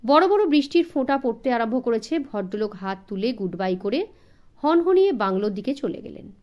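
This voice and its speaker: A woman's voice: on the high side, big pitch swings, a little fast, darkish tone. A woman narrating a story expressively for children as an audiobook reader.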